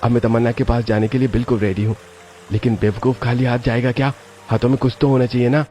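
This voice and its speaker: A man's voice: mid-range voice, level tone, fast talker, mellow, slight background noise, lightly acted. A man voicing a helpful, lovestruck young man in a cartoon story. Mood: eager, musing.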